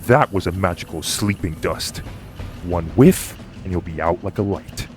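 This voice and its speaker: A man's voice: low voice, moderate pace, music in the background, close to an everyday voice. A man playing a stern, combative magical fighter in an audio roleplay, commanding, confident and impatient. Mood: stern, dismissive. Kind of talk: dramatic audio roleplay acting.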